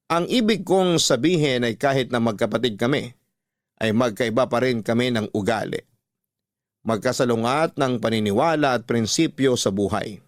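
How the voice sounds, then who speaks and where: An actor playing a reflective man who recounts his family story in a measured, confiding way for a radio drama. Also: mid-range voice, lightly acted. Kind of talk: scripted first-person storytelling for radio drama.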